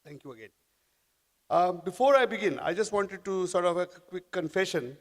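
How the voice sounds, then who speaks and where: A man speaks to a conference audience, his voice pitched a little high. He talks haltingly and often restarts his phrases. His voice carries a long reverb from a large hall. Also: expressive. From India. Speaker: panel speaker. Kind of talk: conference talk.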